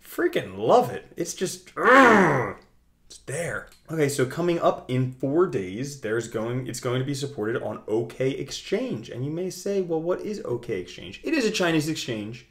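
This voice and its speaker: A young man hosting a casual video, talking through his screen in a chatty, jokey way with big swings in loudness. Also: medium pitch, very animated, unhurried. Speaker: crypto video host. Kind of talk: casual screen-share commentary.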